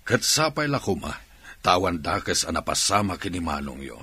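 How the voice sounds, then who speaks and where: A male actor as a considerate boss in a radio drama, his low voice husky and dry, swinging expressively with concern for those who work under him. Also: big pitch swings, moderate pace, pushed and bright, no echo, exaggerated voice. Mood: kindly.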